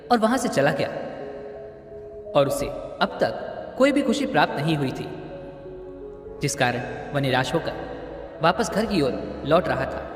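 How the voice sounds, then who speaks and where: A young man narrating a moral story in an earnest, expressive storytelling manner. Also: a little high. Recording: roomy reverb with music underneath. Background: background music.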